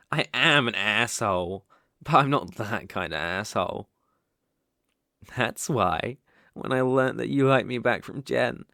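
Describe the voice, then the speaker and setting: A man's voice: medium pitch, creaky, fairly bright tone, no room sound, clean studio sound. A British man acting a dominant, teasing, possessive young bully in love in an intimate ASMR roleplay. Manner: slow, teasing, commanding.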